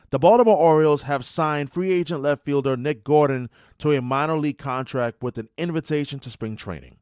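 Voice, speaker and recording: A man's voice: medium pitch, darkish tone, smooth, no room sound, studio quality. A man working as a sports radio host, delivering commentary and updates.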